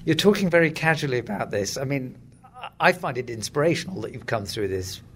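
Guy doing a sports interview, medium pitch, measured probing questions, faint background noise. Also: big pitch swings, a bit bright.

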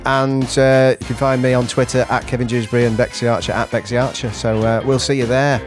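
A man's voice: mid-range voice, flat delivery, fairly quick. A man who performs sketch comedy, reading aloud in a playful comic style. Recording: music playing under the voice. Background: music.

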